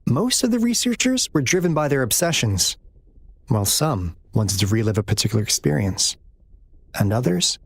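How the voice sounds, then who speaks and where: A man's voice: mid-range voice, no echo. A man voicing a wry, curious young adventurer in a video game, with a theatrical, bemused way of explaining things.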